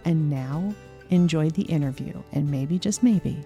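A woman hosts her farming podcast, her voice low and warm, lifting upbeat at the ends of questions. Faint music sits under her speech. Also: a little slow. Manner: bright upbeat questions, pitch rising. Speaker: podcast host and farmer. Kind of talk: podcast intro and interview.

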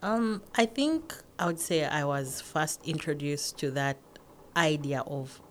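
A woman in her forties from northern Uganda, a guest answering interview questions in a calm, measured way. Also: deep voice, a little hiss or hum. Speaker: podcast guest, academic.

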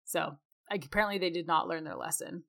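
Low-pitched woman podcaster. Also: brisk, gravelly, fairly bright tone. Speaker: podcast co-host. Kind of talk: conversational podcast discussion.

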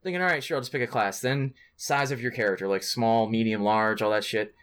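A man co-hosting a podcast in casual, excitable chat about video games, with slangy, sweary talk. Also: lowish voice, fairly quick, clear, resonant tone. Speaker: podcast co-host.

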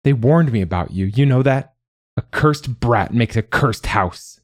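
A man's voice: on the low side, expressive, normal speed, dry recording, clean studio sound. Man voicing a cruel, scornful storybook mother. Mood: scornful anger. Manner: harsh, hissing, contemptuous. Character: cruel, scornful, abusive mother berating her child. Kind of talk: dramatised audiobook narration.

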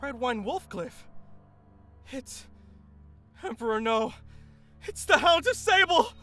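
Very high, panicky young soldier, acted. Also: animated, takes it slowly, sharp tone, soft background music, exaggerated voice. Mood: frantic alarm. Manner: frantic, stammering under fear. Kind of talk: sci-fi war audio drama acting.

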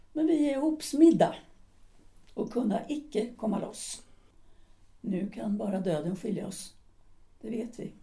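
An actress playing a bitter middle-aged wife and former actress who speaks with cool, resigned contempt in an audio drama. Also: female voice, mid-range voice, close to an everyday voice. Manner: cool, flat and resigned, turning cutting.

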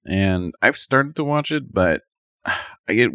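A man's voice: medium pitch, expressive, takes it slowly, fairly bright tone, no room sound, studio quality. A man co-hosting a podcast in casual chat, rambling a little and thinking aloud as he gives his opinions. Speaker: podcast co-host. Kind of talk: casual chat, reviewing anime.

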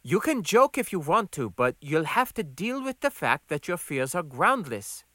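Man playing a firm, bright-voiced, needling woman. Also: fairly high pitch, big pitch swings, normal speed, no echo, studio quality, pitched above the performer's own voice. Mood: firm, caring. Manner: firm, teasing, insistent. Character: confident, needling adult woman, longtime diner friend.

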